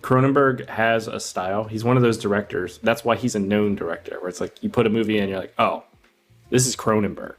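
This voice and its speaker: A man's voice: lowish voice, fairly quick, faint music behind. A man co-hosting a film podcast, speaking in a casual, conversational way.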